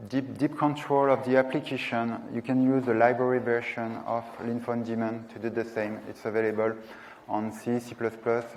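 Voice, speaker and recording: A man's voice: medium pitch, poor audio. Hesitant man presenting, some background noise. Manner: hesitant, searching for words. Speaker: conference presenter. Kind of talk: technical presentation with slides. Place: university lecture room.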